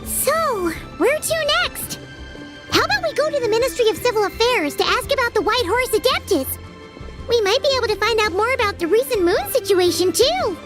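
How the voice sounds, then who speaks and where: A woman voicing a tiny, excitable fairy companion in a video game cutscene, with a squeaky voice. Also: normal speed. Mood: chirpy. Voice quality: squeaky high voice, talks about herself by name. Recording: game audio with music behind.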